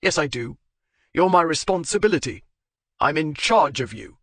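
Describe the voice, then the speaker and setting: A hot-tempered young gentleman, read by a man, curt and commanding, his voice lively and pushed. The sound is close and dry. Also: a little high, normal speed, no echo. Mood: angry.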